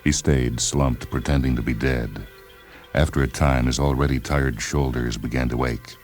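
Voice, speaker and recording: A man narrating a short story in a deliberate, dramatic literary delivery, with music behind him. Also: extremely low pitch, steady tone. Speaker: narrator and reader of short stories.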